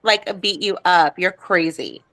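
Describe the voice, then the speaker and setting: A woman's voice: lowish voice, unhurried, crowd noise. A woman guest with a head cold, insisting and repeating herself in a casual chat.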